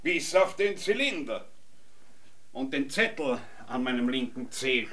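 Eccentric, pompous scientist, swooping delivery. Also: male voice, mid-range voice, lively intonation, measured pace, fairly bright tone. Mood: pompous, matter-of-fact. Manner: pompous, lecturing, theatrical. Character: eccentric, naked time-theorist scientist back from apparent death. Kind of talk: absurd comic radio drama acting.

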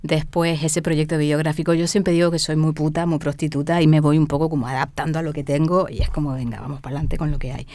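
A woman artist speaking as a guest in a casual interview, talking in animated bursts. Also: low voice, fast talker, few pauses, no room sound.

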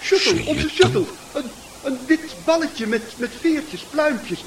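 An actor playing a cheerful, polite neighbour in a radio drama, chatty and well-mannered. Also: male voice, very high voice, expressive, a little slow, a little hiss or hum, full character voice. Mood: bright, polite.

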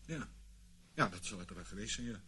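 An animated, self-justifying ex-army officer. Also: male voice, on the low side, fairly quick. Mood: defensive, uneasy. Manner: boastful storytelling, hesitant and defensive when challenged. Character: evasive, self-justifying British male ex-army officer, disgraced swindler. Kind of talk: Dutch radio play acting. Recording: noisy old radio play recording.

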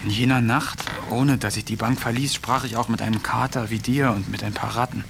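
A man narrating diary entries in a radio play, calm, measured and wry, sometimes dropping to a hushed whisper. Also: medium pitch, gravelly, background noise. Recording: studio radio play with faint music.

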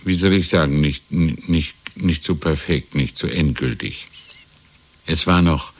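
An actor playing a wistful, deferential old shopkeeper who talks in a halting, musing way, in a 1970s radio drama. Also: male voice, rumbling low, heavily acted. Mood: musing, helpless. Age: older. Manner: halting, musing, repeating himself. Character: wistful, deferential old shopkeeper reminiscing about lost freedom.

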